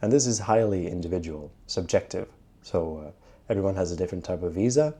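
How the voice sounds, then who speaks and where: A young man from Sweden talking casually to camera in a travel vlog, chatty and relaxed, with frequent filler sounds. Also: deep voice, fairly quick, slightly rough. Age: young adult. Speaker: travel vlogger.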